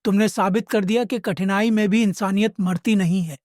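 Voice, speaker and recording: Cartoon king man acting: kindly, stately, formal, notably high voice, dry sound. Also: fairly even intonation, moderate pace, a little mellow, dry recording, lightly acted. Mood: magnanimous. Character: benevolent, stately king rewarding the poor. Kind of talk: dubbed cartoon moral story dialogue.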